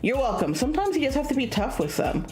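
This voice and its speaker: A woman's voice: high-pitched, very animated, fast, a little mellow, echoey room, soft background music, pitched above the performer's own voice. A woman voicing a tough, loyal young man in a visual novel, blunt but casual and reassuring. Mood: casual, helpful.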